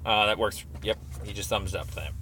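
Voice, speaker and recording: A man's voice: lowish voice, normal speed, sharp tone, slight background noise. A man talking informally on a phone call as a caller, explaining things in a hesitant, rambling way. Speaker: caller making a complaint. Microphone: recorded phone call.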